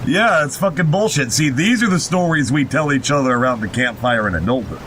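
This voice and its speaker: A man with a mid-pitched voice co-hosts a casual podcast. He banters in a joking, sardonic way and swears freely. A clear low rumble runs under the recording. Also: animated, fairly quick, fairly bright tone. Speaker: podcast co-host. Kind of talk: casual banter while reading and mocking an online horror story. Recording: noisy, constant low rumble.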